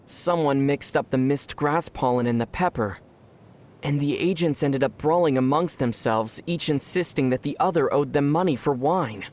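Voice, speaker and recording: An actor playing a calm, dry young male traveler from other worlds in a video game. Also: fairly high pitch, close to an everyday voice. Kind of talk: video game dub dialogue. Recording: game audio with soft music behind.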